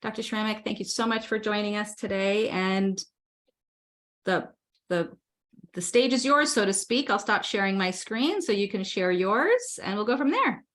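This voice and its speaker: A woman hosts a webinar over a video call. Her voice sits at a middle pitch, and she reads the introduction in a friendly, slightly halting manner with some fillers. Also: expressive, fairly bright tone. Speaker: webinar host. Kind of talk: reading a speaker introduction.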